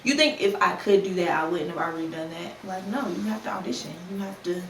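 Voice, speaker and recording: A woman in her twenties hosting a casual solo podcast, talking candidly in a conversational way with slang and quick put-on quotes. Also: lowish voice, creaky. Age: 20s. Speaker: podcast host. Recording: roomy, a bit distant from the mic, faint ambient noise.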